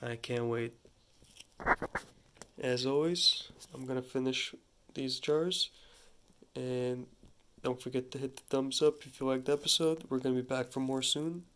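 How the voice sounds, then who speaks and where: A man with a stuffy head cold casually demonstrating a gardening task at a kitchen sink, talking in a relaxed, halting way with many restarts. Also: medium pitch, a little hiss or hum. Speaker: hydroponics gardening channel host. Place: home kitchen at a running sink. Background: running tap water.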